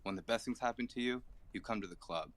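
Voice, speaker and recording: Man from El Salvador, low voice, sincere testimony in a live chat. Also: quick pace, a bit bright. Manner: earnest, heartfelt testimony. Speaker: community member.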